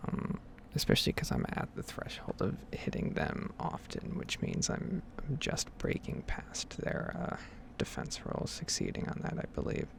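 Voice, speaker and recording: A woman whispering a rambling, improvised ASMR game commentary in a hesitant, unhurried way, with a breathy, creaky edge. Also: a bass voice, wildly swooping pitch, slight background noise. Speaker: gaming ASMR creator.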